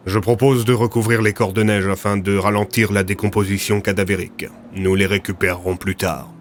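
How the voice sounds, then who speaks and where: A man's voice: lowish voice, little pitch movement, brisk, a little hiss or hum, lightly acted. A man playing a blunt, questioning police detective in an audio drama. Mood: serious. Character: blunt male police detectives, plain-spoken.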